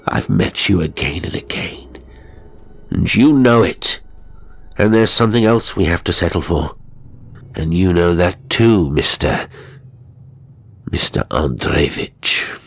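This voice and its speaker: A man playing a stern, earnest English gentleman who speaks bluntly and emphatically in a British accent. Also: low-pitched, slow, gravelly, long pauses, breathy edge, exaggerated voice. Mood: cold hostility.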